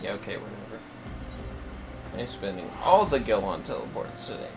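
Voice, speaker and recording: A man chatting casually on a gaming livestream, sounding drowsy and muttering his low-key commentary. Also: sing-song, normal speed, music in the background. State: tired, drowsy. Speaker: game livestreamer.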